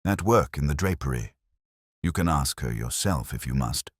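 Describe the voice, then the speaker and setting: A man's voice: very deep voice, animated, moderate pace, husky, no room sound, studio quality, full character voice. A man voicing an indignant, blunt small-town woman cook in a storybook mystery. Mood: blunt, defensive.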